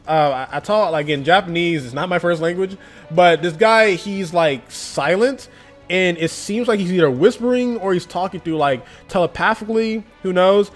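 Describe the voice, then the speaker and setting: A man talks through a manga chapter in a loose, chatty way. He fills his sentences with fillers and laughs often. His voice sits a bit high, with soft music playing behind him. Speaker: anime and manga YouTuber. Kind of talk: casual review commentary.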